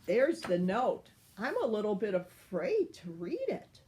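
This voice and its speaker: An older woman, a grandmother, reading a picture book aloud to children in a playful, animated way. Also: medium pitch, unhurried. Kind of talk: storybook read-aloud.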